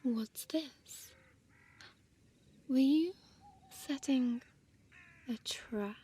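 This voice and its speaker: Woman acting as a slow, breathy, taunting female vampire. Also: sits high, dark tone, heavily acted. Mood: mocking, teasing. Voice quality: breathy, often dropping to a whisper. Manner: slow, teasing, condescending, formal diction. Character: small deadly female vampire, mocking, seductive predator. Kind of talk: intimate audio roleplay addressed to listener.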